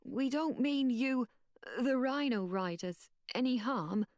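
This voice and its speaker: An earnest young boy with a raised, lighter voice, as a female narrator plays him, talking slowly and hesitantly and stumbling on small fillers. The reading is dry and close-miked. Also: high voice, lively intonation, a little mellow, dry recording, voice pushed up.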